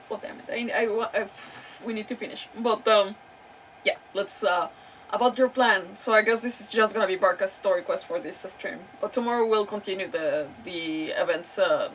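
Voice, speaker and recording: A woman's voice: mid-range voice, distant mic, a little hiss or hum, poor audio. A woman live-streaming a game, chatting casually in a rambling way and often interrupting herself. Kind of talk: casual livestream chat and reactions over a game.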